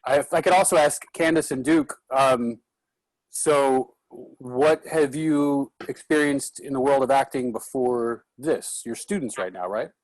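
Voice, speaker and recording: Mid-pitched man with a close, dry sound. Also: measured pace, no room sound, low-quality recording. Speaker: actor moderating a Q&A. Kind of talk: casual, encouraging group discussion.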